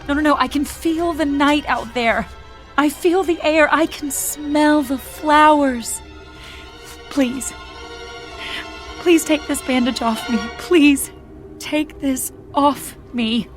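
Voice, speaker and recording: A woman's voice: high-pitched, takes it slowly, faint music behind. A woman acting a desperate, pleading hospital patient in a radio drama. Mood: anguished pleading.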